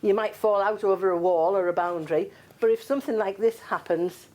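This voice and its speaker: A rural woman answering a news interview in a calm, practical way. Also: mid-range voice, brisk.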